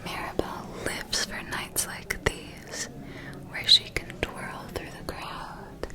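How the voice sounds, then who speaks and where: A woman playing a refined, shrewd vampire noble who gossips in an elegant, deliberate manner in a whispered ASMR roleplay. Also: close to an everyday voice. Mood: intrigued, wry. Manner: slow, deliberate, elegant, hushed insinuation.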